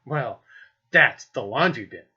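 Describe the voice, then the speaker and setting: A man's voice: medium pitch, expressive, takes it slowly, bright, forceful tone, slightly rough, dull audio, exaggerated voice. A man playing a talkative young hotel elevator operator in a mystery drama, speaking in a slangy, informal way. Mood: dismissive. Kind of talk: radio mystery play acting.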